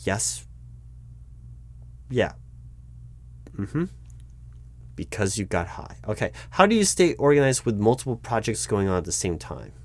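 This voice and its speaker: A man playing a job interviewer in an ASMR roleplay, patient but baffled, with a dry, halting delivery full of restarts. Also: lowish voice, big pitch swings, slight background noise. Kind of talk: ASMR roleplay, spoken aloud, not whispered.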